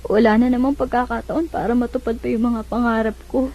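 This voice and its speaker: A dull-sounding radio soap recording carries a fairly high-pitched young Filipino woman. She is determined and calculating, set on a marriage without love. The delivery is that of serial drama on the radio. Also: fairly even intonation, fairly quick, dark tone. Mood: resigned, resolved.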